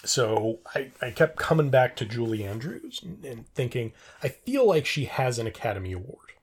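Rambling middle-aged man with a husky voice. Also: medium pitch, very animated, moderate pace. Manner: rambling, thinking out loud. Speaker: podcast co-host. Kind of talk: casual trivia discussion.

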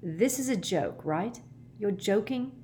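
A woman's voice: mid-range voice, lively intonation, unhurried, warm tone, slight background noise, voice pushed up, natural voice. A woman voicing a sensible, blunt teenage boy in a storybook reading, incredulous and impatient. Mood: bewildered. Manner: incredulous, blunt, exasperated questioning.

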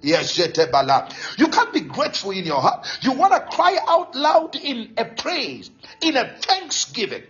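High-pitched preaching man, very animated. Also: normal speed. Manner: declamatory, repetitive, exhorting, stretches key phrases. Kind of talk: charismatic Pentecostal sermon with bursts of tongues. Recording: dull lo-fi sound, faint music behind.

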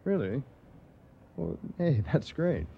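An actor playing a boyish, touchy rival restaurateur in a radio comedy, stammering and defensive. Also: male voice, fairly high pitch, very animated, takes it slowly, gravelly, dull audio, a little hiss or hum, exaggerated voice. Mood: relieved. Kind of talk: 1980 radio theater comedy acting.

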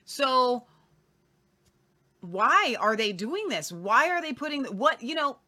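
Bright high woman ranting on a news show, voice swings all over. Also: big pitch swings, slow pace. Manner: emphatic, asks repeated questions. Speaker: co-host. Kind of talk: opinionated casual discussion.

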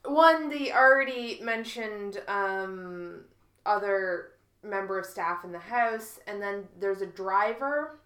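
Unhurried woman co-host, dry wit, bright tone, roomy echo. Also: medium pitch, animated. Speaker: podcast co-host. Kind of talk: casual comedic chat.

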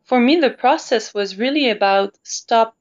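A woman's voice: on the high side, unhurried. A woman from Canada, an opera singer interviewed as a guest, talks thoughtfully and searches for her words, with easy laughs. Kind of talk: reflective conversational interview. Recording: dull lo-fi sound.

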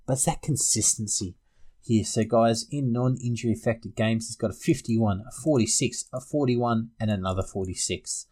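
Aussie guy hosting a sports podcast, mid-pitched voice, just chatting it through. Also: moderate pace, warm tone. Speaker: podcast host. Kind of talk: casual sports fantasy podcast chat.

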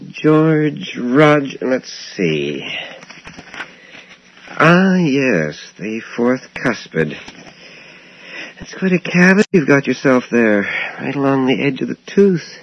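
An actor playing a smooth, patronizing, quietly sinister dentist in a 1980s radio horror drama. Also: male voice, mid-range voice, very animated, no echo, full character voice. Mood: breezy, soothing. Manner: soothing, unhurried, patronizing. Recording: dull, noisy old radio broadcast.

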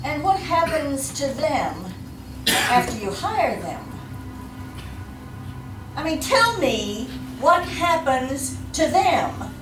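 A woman's voice: sits high, sing-song, full character voice. An actress playing a determined, anxious young woman in an old-time radio drama, speaking earnestly and pointedly. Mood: insistent. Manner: deliberate, earnest, pointed questioning. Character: determined, anxious young woman seeking her missing sister. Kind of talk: old-time radio acting before a live audience. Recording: echoey live stage, distant mic, faint music.

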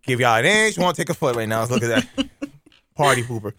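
A man's voice: mid-range voice, sing-song, brisk, sharp tone, slight background noise. A man hosting a casual radio talk show from a studio, playful and joking, cheering his guest on.

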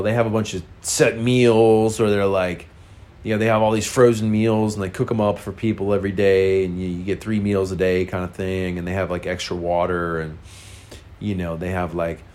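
A man's voice: lowish voice, a little mellow. Congested man telling a rambling story. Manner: rambling, frank, full of fillers. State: congested, nasal. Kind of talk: casual personal storytelling.

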